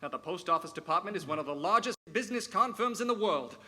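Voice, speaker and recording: A man playing an earnest, courteous, somewhat halting defense lawyer in a live radio play. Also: high voice, expressive, sharp tone, heavily acted.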